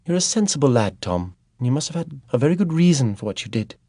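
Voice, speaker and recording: A man voicing a kind young farmer's wife, speaking softly and with concern. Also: mid-range voice, sing-song, fast talker, no room sound, clean studio sound, pitched above the performer's own voice. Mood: gentle. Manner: soft, pleading, concerned.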